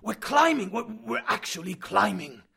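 A man voicing a terrified young man who shouts frantically. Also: mid-range voice, expressive, fast, natural voice. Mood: alarmed. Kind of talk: audiobook character reading.

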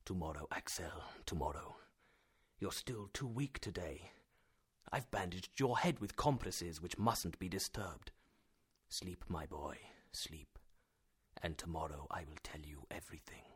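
A man voicing an affectionate older professor uncle in a storybook, with emphatic, deliberate, drawn-out phrasing. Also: lowish voice, lively intonation, fairly bright tone, breathy edge, exaggerated voice. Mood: hushed, tender.

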